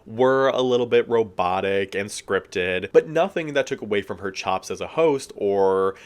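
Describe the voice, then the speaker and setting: A man, giving a chatty, expressive review as casual commentary, full of asides and fillers. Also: on the low side, unhurried, smooth. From United States. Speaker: video reviewer.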